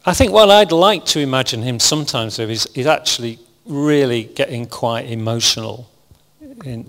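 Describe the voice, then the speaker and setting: A man delivers a sermon in a calm, even and rather monotonous voice, often restarting his phrases. His voice sits in a medium register. It carries a long reverb from the hall he is speaking in. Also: mid-range voice. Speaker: preacher. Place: church hall with congregation.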